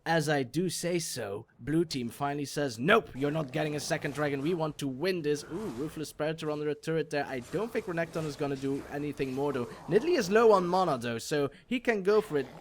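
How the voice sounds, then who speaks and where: Man shoutcasting a game replay. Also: fairly high pitch. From Belgium. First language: not English. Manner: jokey, self-mocking, rises sharply on exciting plays. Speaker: esports shoutcaster. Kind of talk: solo game commentary over a replay.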